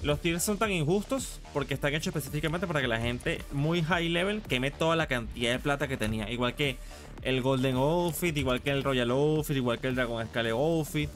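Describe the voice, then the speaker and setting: A man's voice: medium pitch, a little fast. Slangy man streaming a game over music. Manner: slangy, swearing, griping. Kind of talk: casual live gaming stream chat.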